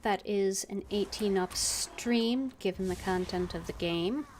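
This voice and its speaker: A woman's voice: mid-range voice, a little slow, darkish tone. A woman streaming a game, giving casual live commentary. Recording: clearly noisy with game ambience.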